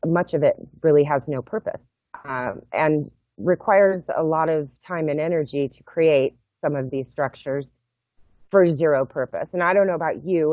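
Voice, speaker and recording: Middle-aged woman on a tinny video call, measured, lots of uhs, dry sound. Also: very deep voice, no room sound. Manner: measured, thoughtful, with frequent uhs. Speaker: guest, project co-founder. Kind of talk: explaining in an interview. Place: home room. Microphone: laptop webcam mic on video call.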